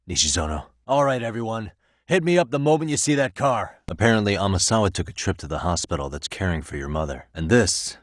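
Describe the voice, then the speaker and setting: A man's voice: low voice, no room sound, studio quality. A man with an American accent voicing a cool, determined private detective in a video game, speaking in a casual, wry, conversational way. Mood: earnest, directing. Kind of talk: video game voice acting, naturalistic dialogue.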